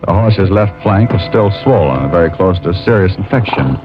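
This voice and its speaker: Wry American male gumshoe, low lively voice, old-time radio drama, muffled recording. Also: a little fast, over music. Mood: wry narrating. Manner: dry, sardonic delivery. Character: wry, quick-witted private detective narrating his case. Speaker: lead actor and narrator. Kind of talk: 1940s-50s radio detective acting, scripted narration.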